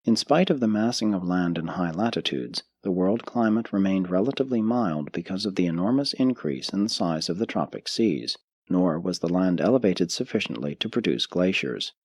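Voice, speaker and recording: A man reading a book aloud as an audiobook narrator, in a measured, steady way with pauses between listed items. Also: sits low, no room sound.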